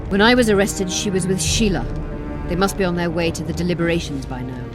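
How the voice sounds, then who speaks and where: An actress playing a proud, imprisoned sorceress who pleads urgently for release while keeping a haughty edge. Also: female voice, lowish voice, steady tone, fast talker, close to an everyday voice. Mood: urgent, pleading. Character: proud imprisoned sorceress, middle-aged woman. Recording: game audio with orchestral music.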